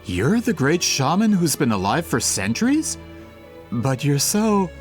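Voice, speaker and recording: A smooth-talking gentleman schemer with excessive courtesy. The voice is fairly high for a man and swoops theatrically in formal, flattering game dialogue. Music plays behind it. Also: measured pace. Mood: apologetic, smooth. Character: suave, scheming gentleman employer and collector, falsely courteous. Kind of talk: anime-style video game voice acting.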